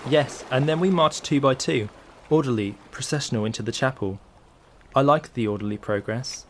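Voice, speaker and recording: Male actor as earnest, self-effacing schoolfellow in a literary audio play; medium pitch, slight background noise. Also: moderate pace, natural voice. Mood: earnest, reverent. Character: orderly, self-effacing Australian banker's son, reverent of authority. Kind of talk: literary radio drama acting.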